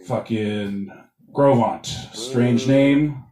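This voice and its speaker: A man's voice: medium pitch, drawn-out words, dark tone. A man from Montana doing a crude, self-mocking stand-up set, rambling with fillers. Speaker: stand-up comedian. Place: comedy club stage. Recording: roomy club sound, taken from a distance, audience laughter.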